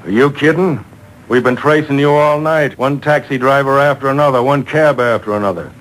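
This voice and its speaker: A man's voice: mid-range voice, brisk, close to an everyday voice, poor audio. An actor playing a curt, impatient, no-nonsense policeman in a 1940s-style radio drama, barking his words. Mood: gruff, irritated.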